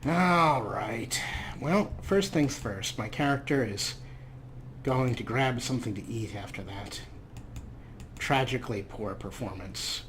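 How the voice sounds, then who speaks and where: A man's voice: mid-range voice, takes it slowly, fairly bright tone, a little hiss or hum. A man giving casual, self-deprecating gameplay commentary as a let's play host.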